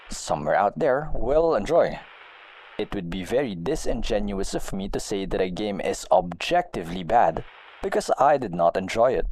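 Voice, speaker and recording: Man reading aloud with lively, swinging intonation. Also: medium pitch, low-quality recording. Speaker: video game blogger. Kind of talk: reading a personal essay aloud.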